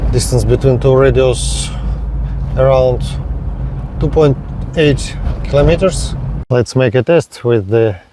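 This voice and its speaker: A man narrating a hobby field test vlog step by step in halting, short, repetitive phrases. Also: mid-range voice, dark tone, a little hiss or hum. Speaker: radio hobbyist presenting a range test.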